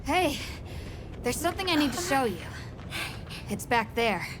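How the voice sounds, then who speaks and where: A woman's voice: high-pitched, expressive, unhurried, background noise. A woman playing a practical, caring young adventurer in a video game cutscene, with music behind her. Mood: practical, encouraging.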